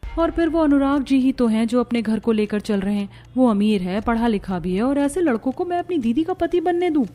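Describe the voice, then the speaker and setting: A woman's voice: a little high, expressive, a little fast, dark tone, lightly acted. A woman playing a vain, scheming, selfish young bride in a cartoon family melodrama. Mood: smug scheming.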